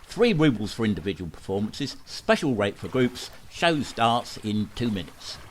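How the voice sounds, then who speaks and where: A man's voice: fairly low pitch, sing-song, moderate pace. A sly street hustler with a showman's patter, played by a man, his voice rising and falling in big swoops as he wheedles. It is an amateur radio play, and a faint hiss of noise runs under it. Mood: eager. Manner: wheedling, theatrical salesman patter. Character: sly male street showman hawking a stunt.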